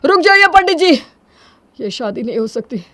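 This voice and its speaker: Woman as young husband, audio story, proud, distraught, emphatic, melodramatic, raised pitch. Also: measured pace, creaky, darkish tone, no room sound. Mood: desperate pleading.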